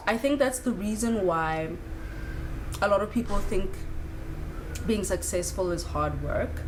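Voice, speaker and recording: A woman around thirty from South Africa, talking through spiritual ideas in a candid, casual monologue with frequent fillers and the odd swear word. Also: on the low side. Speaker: spiritual vlogger. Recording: clear background noise.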